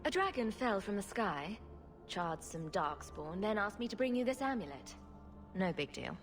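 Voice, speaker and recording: An actress playing a wry, self-assured young adventurer heroine in a video game. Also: female voice, mid-range voice, big pitch swings, normal speed, soft background music, lightly acted. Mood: questioning. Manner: wry, questioning.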